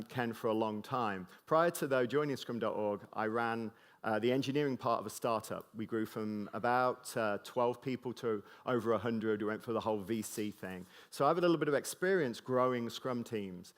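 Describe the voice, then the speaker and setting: A man's voice: medium pitch, moderate pace. Joking British man giving a keynote. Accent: British English with a slight mid-Atlantic tinge. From Britain. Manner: joking and loose, with many fillers and restarts. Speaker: conference keynote speaker. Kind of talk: conference talk to a live audience.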